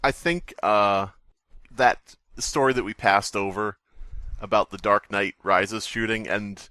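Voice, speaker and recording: A man's voice: fairly low pitch, steady tone, slow, sharp tone, no room sound. A man co-hosting a podcast in casual discussion, thinking aloud and hedging as he goes. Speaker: podcast co-host.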